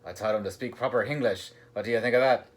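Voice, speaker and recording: A man voicing a gruff, bellowing pirate captain, proud and blustering, in a storybook reading. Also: mid-range voice, fast talker, close to an everyday voice.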